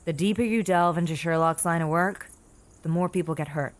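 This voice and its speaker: A woman's voice: fairly low pitch, brisk, natural voice. A woman playing a shrewd, world-weary woman in an audio drama, frank and cautioning but guardedly kind. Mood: wary concern. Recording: music under the voice.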